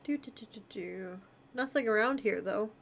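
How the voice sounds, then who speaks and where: A woman's voice: mid-range voice, normal speed, vocal fry. A woman livestreamer giving casual, off-the-cuff commentary while playing a game.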